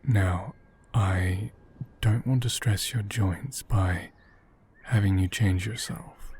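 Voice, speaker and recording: A man playing a gentle, caring caretaker of a fragile doll in an ASMR roleplay, speaking softly and hesitantly, often whispering. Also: lowish voice, fairly even intonation, slight background noise. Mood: apologetic, gentle. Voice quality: soft, breathy, often whispered. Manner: slow, hesitant, reassuring.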